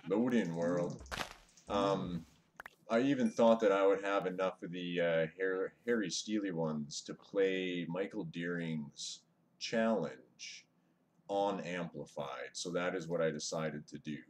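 Male live streamer, deep voice, chatty, greets chat mid-game; webcam mic, faint hiss. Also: takes it slowly. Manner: chatty, greets viewers, mutters over gameplay. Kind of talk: casual live-stream chat while gaming. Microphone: webcam microphone, away from mouth.

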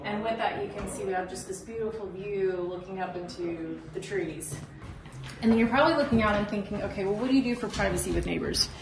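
A woman's voice: mid-range voice, brisk. A woman in her thirties giving a casual, explanatory walk-through tour as a homeowner, recorded at a distance inside a bare renovation site. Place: indoors in a bare wood-framed house under renovation. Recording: roomy echo, distant mic, loud site noise.

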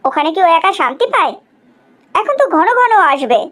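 Woman acting aggrieved middle-aged mother, very high voice, nagging, reproachful, cartoon family drama. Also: very animated, normal speed, fairly bright tone, exaggerated voice. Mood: resentful. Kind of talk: animated cartoon drama dialogue.

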